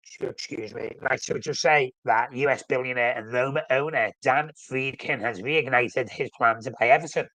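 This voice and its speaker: A man's voice: medium pitch, normal speed, pushed and bright, dry recording, poor audio. A man with a flu, sounding snuffly, hosting a casual live-stream chat about his football club and rambling with frequent self-corrections. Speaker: fan channel host.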